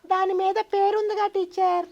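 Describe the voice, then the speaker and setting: Puppet show kid: woman playing cheeky, naive little boy, extremely high creaky squeak, pestering questions, muffled lo-fi sound. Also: little pitch movement, normal speed, a little mellow. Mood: teasing, pestering.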